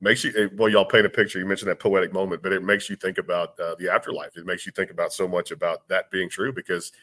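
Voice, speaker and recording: Casting: quick, deep-voiced radio host, enthusiastic. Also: male voice, level tone, a bit bright. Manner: upbeat, enthusiastic, plugs the show. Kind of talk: radio interview.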